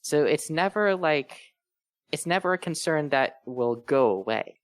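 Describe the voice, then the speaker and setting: Guy with a smooth crisp voice, super dry audio, earnestly sharing experience in a casual chat. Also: on the high side, expressive, measured pace, no room sound. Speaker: guest panelist.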